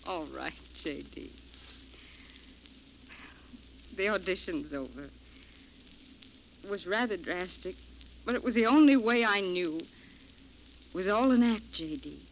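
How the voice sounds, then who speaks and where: An actress playing a scheming stage performer, cool and menacing, in an old radio drama. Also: female voice, on the low side, lively intonation, a little slow, long pauses, slight background noise. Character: scheming actress, calm cold woman. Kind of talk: 1940s radio acting. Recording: dull old radio broadcast.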